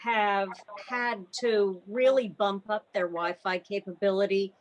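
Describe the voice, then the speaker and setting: A woman speaking in a club meeting over a video call, explaining things in a measured way. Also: medium pitch, fairly even intonation, slight background noise, low-quality recording. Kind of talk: meeting discussion.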